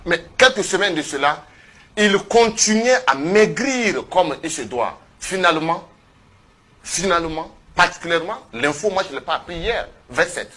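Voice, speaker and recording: A man giving impassioned testimony as a guest in a television studio, speaking emphatically and haltingly, often repeating phrases. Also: high voice, lively intonation, fairly bright tone. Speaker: activist guest on a TV panel.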